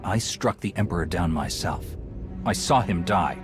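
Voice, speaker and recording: An actor playing a heroic Jedi knight in a sci-fi game, speaking earnestly and directly. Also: male voice, low-pitched, lively intonation, normal speed. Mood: earnest, resolute. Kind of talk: dramatic sci-fi game dialogue. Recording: music behind the voice.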